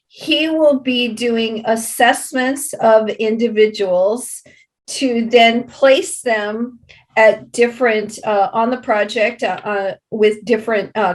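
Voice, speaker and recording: A woman giving unscripted interview answers as a guest, explaining programs in a halting, deliberate way. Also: on the high side.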